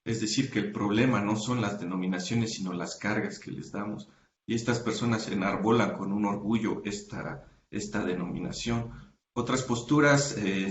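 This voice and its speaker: A man being interviewed as a researcher, explaining his academic work carefully, pausing often with "eh" and correcting himself mid-sentence. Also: fairly low pitch, echoey room, dull audio, slight background noise. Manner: thoughtful, many hesitations and self-corrections. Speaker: guest researcher.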